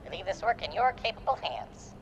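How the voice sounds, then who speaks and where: A woman's voice: medium pitch, very animated, fairly quick, slight background noise, rough recording. An actress playing a polite, apologetic woman in a video game who speaks genteelly.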